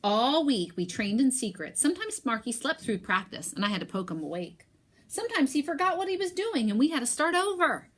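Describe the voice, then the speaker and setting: Expressive woman teacher reading to children. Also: high-pitched. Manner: expressive, with emphatic pauses. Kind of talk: animated picture-book read-aloud and talk to pupils.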